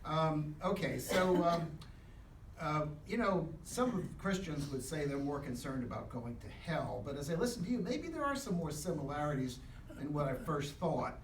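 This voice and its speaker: Male Sunday school teacher, comic straight man, animated but halting, heard from a distance with room echo and faint noise. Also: a little high. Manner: hesitant, halting, questioning. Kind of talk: live comic dialogue with a puppet. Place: echoey classroom, in front of a class.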